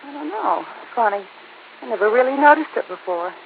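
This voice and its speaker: A woman's voice: a little high, expressive, brisk, creaky. An actress playing an earnest, bewildered young woman in 1950s radio drama style. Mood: uneasy, puzzled. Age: young adult. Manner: earnest, uncertain. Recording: tinny, hissy old radio recording.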